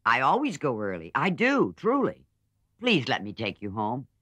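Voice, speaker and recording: A man's voice: mid-range voice, wildly swooping pitch, moderate pace, bright, forceful tone, breathy edge, no echo, voice pushed up, exaggerated voice. A man voicing a polite, eager young man in a storybook reading. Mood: earnest.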